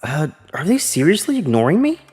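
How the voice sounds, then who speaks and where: A man's voice: a little high, huge pitch swings, fairly quick, darkish tone, husky, no room sound, faint music behind, heavily acted. A man voicing an earnest twenty-five-year-old male teacher who narrates his own story and acts out his lines in a manga dub. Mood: hopeful, earnest. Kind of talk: first-person manga dub narration with acted dialogue.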